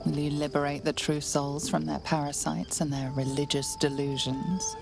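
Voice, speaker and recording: Ominous female game storyteller, deep voice, heavy fry, grave and deliberate, music behind. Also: flat delivery. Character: solemn, ominous female storyteller of dark fantasy. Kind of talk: dramatic second-person game narration. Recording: music under the voice.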